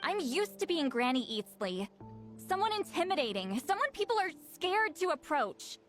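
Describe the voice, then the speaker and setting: A woman voicing a gruff, lonely sorceress who has lived for centuries, talking slowly with sighs, in a video game. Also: extremely high pitch, sing-song, a bit bright, heavily acted. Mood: wistful. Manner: slow, sighing, reflective. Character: ancient young-looking sorceress, gruff and lonely. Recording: game audio with faint background music.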